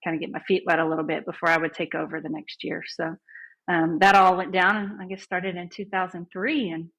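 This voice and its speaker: A woman. Her voice is husky and a little breathy, and sits on the low side. She talks as a chatty, upbeat guest recounting her own life. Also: flat delivery, brisk, a bit bright, poor audio. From Southeast Texas. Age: 40s. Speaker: guest band director. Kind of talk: casual podcast interview.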